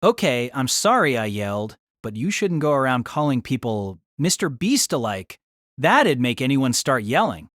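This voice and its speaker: A man's voice: mid-range voice, sing-song, normal speed, a bit bright, no echo, studio quality. An actor playing a wry, exasperated young man, delivering wordy first-person story narration and dialogue in a deliberating, self-conscious way.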